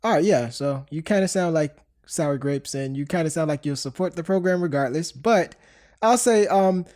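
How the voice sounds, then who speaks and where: Stuffy-voiced chatty podcast host. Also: male voice, on the high side, normal speed, a little mellow. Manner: chatty, rambling with fillers and restarts. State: stuffy from a cold. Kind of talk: casual podcast chat.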